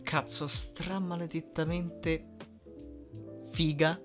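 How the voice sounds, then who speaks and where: A man's voice: on the high side, flat delivery, takes it slowly, fairly bright tone. Young guy, easygoing casual q&a, bit hesitant, faint music behind him. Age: young adult. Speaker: dubbing content creator answering fan questions. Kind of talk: casual question-and-answer chat.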